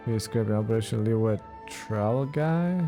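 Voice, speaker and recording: A man giving casual let's play commentary, laid-back and halting. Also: lowish voice, expressive, dark tone. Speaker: gaming streamer. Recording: faint game music behind the voice.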